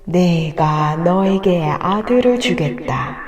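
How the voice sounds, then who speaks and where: A solemn, benevolent God from a children's Bible story, voiced by a woman. The delivery is slow and commanding, in a lowish register, ringing with roomy echo. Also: expressive, over music.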